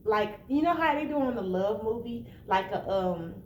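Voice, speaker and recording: A woman's voice: on the high side, animated, normal speed. An American woman of about thirty-one giving blunt, casual, emphatic relationship advice straight to the listener in an informal monologue. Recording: echoey room, faint background noise.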